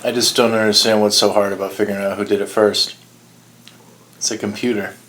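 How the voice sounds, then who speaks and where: Casting: male, early 30s, lowish register, informal conversational delivery, slight background noise. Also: little pitch movement, fairly quick, fairly bright tone. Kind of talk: casual chat.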